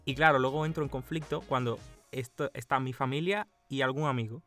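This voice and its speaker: A man's voice: medium pitch, steady tone, brisk, bright, forceful tone, no echo, soft background music. A young man from Cuba who speaks with a Madrid Spanish accent, co-hosting a casual podcast chat and explaining at length with frequent laughs. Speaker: podcast co-host.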